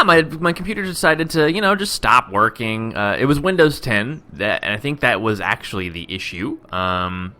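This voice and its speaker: A young man talks through a city-building game in a rambling, self-interrupting way. He has just woken up, so his voice sits lower than usual, yet it stays bright and crisp. His pitch swings widely as he chats. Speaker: gaming video host. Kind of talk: casual let's-play commentary.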